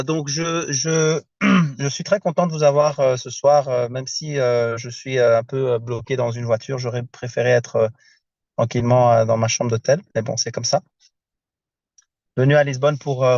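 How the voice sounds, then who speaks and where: A man talks from a car over a video call, the sound dull and lo-fi with no room echo at all. His voice sits at an ordinary middle pitch. He speaks in a casual, halting way, pausing often to hunt for the right word in a language that is not his first. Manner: halting, searching for words in a second language. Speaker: founder presenting a project. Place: inside a car.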